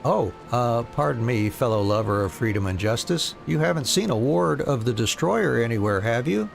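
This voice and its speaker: A man acts out a forgetful, self-mocking freedom fighter from a fantasy game. He sounds chatty and sheepish, middling in pitch with some gravel, and faint music plays behind. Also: lively intonation, moderate pace. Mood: sheepish, pleading. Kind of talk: reading fantasy game dialogue aloud.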